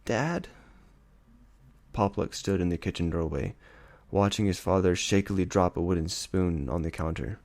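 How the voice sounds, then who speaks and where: A man's voice: low-pitched, fairly even intonation, moderate pace, darkish tone, dry recording. A man reading a story aloud as dramatic scripted narration. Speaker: audiobook reader.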